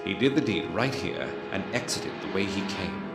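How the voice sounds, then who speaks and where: A low-voiced, self-assured detective. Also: male voice, animated, normal speed. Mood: absorbed, analytical. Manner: precise, declarative, theatrical deduction. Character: keen, self-assured male Victorian detective, coolly analytical. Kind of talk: dramatic film dialogue. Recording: reverberant hall sound with music underneath.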